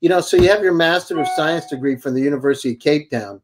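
A man's voice: a little high, fast talker, noisy background. A man hosting an online show, introducing his guest with enthusiastic praise and asking rambling questions. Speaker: host and company CEO.

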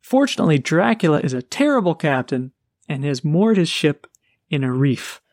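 A man's voice: on the high side, very animated, moderate pace, darkish tone, no room sound, clean studio sound. A man co-hosting a podcast, casually retelling a book's plot with energy. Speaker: podcast co-host. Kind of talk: casual book discussion.

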